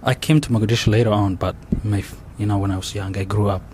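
A young man with a Somali accent, telling his story in an interview, halting and full of restarts and fillers. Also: lowish voice, steady tone, darkish tone. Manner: halting, many restarts and "you know" fillers. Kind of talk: oral history interview. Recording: faint background noise.

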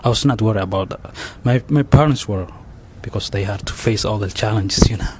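A low-pitched man with a Somali accent speaks haltingly, stopping to restart and filling gaps with "you know." Faint noise sits under the recording. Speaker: interviewee. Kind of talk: oral history interview.

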